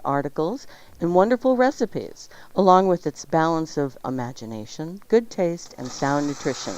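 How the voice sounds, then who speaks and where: Low-voiced woman host, lively pitch swings. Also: a little hiss or hum. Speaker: show host. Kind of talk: reading health news and sponsor spots on a talk show. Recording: dull lo-fi audio with music behind.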